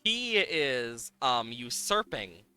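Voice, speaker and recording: A male game streamer with a very bright, punchy voice that swings widely in pitch. He comments casually as he plays and talks to his viewers, with music playing underneath. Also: drawn-out words, smooth. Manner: animated, exclamatory, swearing.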